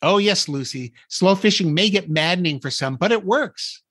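Male host for scripted comic podcast, slightly high husky voice, recorded dry and close. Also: expressive, normal speed, fairly bright tone, dry recording. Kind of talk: scripted fishing tips in a comic skit.

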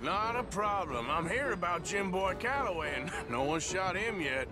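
An actor playing a blunt, folksy cowboy gunslinger with an American accent in a western video game. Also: male voice, high voice, expressive, normal speed, over music. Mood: calm, insistent. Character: gruff, steady male cowboy gunslinger.

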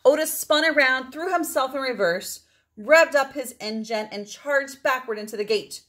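A woman's voice: high-pitched, a bit bright. Woman reading a picture book aloud expressively. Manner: dramatic phrasing with drawn-out words for emphasis. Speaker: storybook reader.

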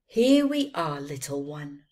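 A woman voicing a kindly, concerned male desert merchant from a children's storybook, gentle and emphatic. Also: deep voice, big pitch swings, slow pace, voice pushed down, heavily acted.

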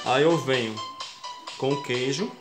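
A man's voice: mid-range voice, takes it slowly. Chatty Brazilian man cooking in an echoey kitchen. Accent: Brazilian Portuguese. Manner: chatty, explains each step and keeps addressing viewers as folks. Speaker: cooking show host. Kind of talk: casual cooking tutorial. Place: home kitchen, indoors. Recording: echoey kitchen with background music.

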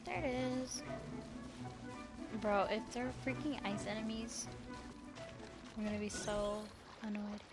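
A woman's voice: fairly high pitch, steady tone, slow pace, pauses often. A woman casually commentating her live gameplay, thinking aloud in short, sparse remarks. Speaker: live game streamer. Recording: game music behind. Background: game music.

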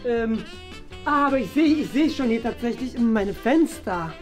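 A man's voice: very high-pitched, expressive, a little slow. A man in his twenties playing a bossy, smug little brother in a children's web sketch, wheedling and demanding in an exaggerated way. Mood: smug, nitpicking. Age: 20s. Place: small furnished rooms, indoors. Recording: loud music behind the voice.

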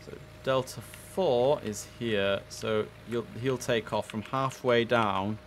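A man's voice: medium pitch, takes it slowly, slight background noise. A young man chatting casually and easily as he hosts a live gaming stream, speaking into a headset.

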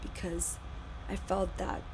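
A woman's voice: on the low side, slow pace. A woman teacher in her thirties with a big voice, lecturing her class animatedly over a video call. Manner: animated, repeats and restarts phrases. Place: home room, indoors. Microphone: webcam on video call. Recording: noisy webcam audio.

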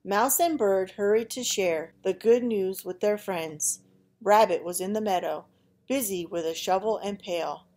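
A woman narrating a children's picture book aloud in an expressive, gentle way. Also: medium pitch, measured pace. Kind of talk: storybook read-aloud.

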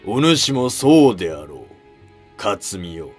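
A man's voice: lowish voice, big pitch swings, slow, husky, soft background music, heavily acted. A man as a blunt, haughty ancient warlord. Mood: stern command. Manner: blunt, commanding archaic speech. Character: haughty, defiant male warlord of ancient Japan. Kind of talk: historical drama dialogue.

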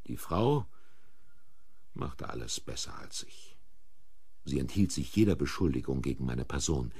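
An actor playing a bitter accused man who narrates a German thriller in the first person, husky, brooding and deliberate. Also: very deep voice, animated, warm tone, no room sound, heavily acted.

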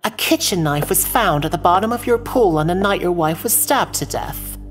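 A woman's voice: on the low side, animated, moderate pace, in a large hall, faint music behind, close to an everyday voice. A woman playing a shrewd game lawyer in cross-examination, formal and sardonic. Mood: probing.

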